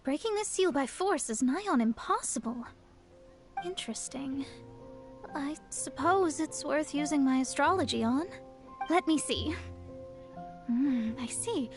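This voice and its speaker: A woman's voice: high voice, animated, measured pace, pauses often, over music. A woman playing a proud young female astrologer in a video game who is poised but easily flustered. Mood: focused.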